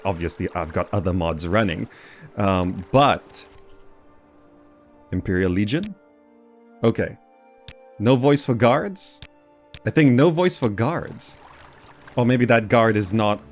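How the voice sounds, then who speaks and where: A man giving casual gameplay commentary, thinking aloud in a hesitant way as he goes. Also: medium pitch. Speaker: gamer presenting a game mod.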